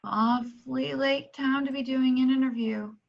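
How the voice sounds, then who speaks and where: A woman's voice: high voice, steady tone, unhurried, creaky. A woman playing a brash woman reporter in a horror script reading, on dull lo-fi audio. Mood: wry, urgent.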